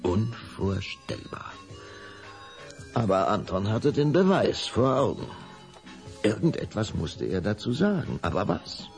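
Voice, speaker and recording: A man's voice: fairly low pitch, sing-song, unhurried, pauses often, soft background music. A man narrating a children's audio drama in a dramatic, suspenseful storytelling manner.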